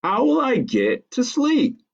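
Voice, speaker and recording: Man putting on a high-pitched, insistent little girl. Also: wildly swooping pitch, slow, gravelly, full character voice. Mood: insistent, worried. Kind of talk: storybook character reading. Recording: dull lo-fi audio.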